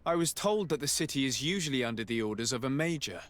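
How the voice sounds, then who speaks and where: An actor playing an earnest, courteous diplomat in a video game, speaking in a polite, formal and measured way. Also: male voice, medium pitch, animated, fairly bright tone, no room sound, natural voice. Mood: composed, inquiring. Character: courteous, earnest male diplomat, composed investigator. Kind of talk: dramatic video game dialogue.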